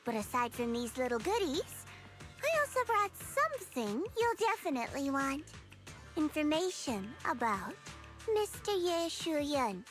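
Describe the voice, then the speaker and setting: A woman's voice: high voice, very animated, a little slow, a bit bright, faint music behind, heavily acted. A woman voicing a teasing, self-assured young female agent in a video game, with a playful, smug, coaxing delivery.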